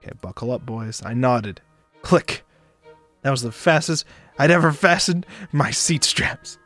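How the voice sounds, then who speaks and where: A man doing a dramatic narration of a visual novel for a stream, expressive, sometimes stumbling and joking. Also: mid-range voice, unhurried. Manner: expressive, stumbles and rereads lines. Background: music behind the voice.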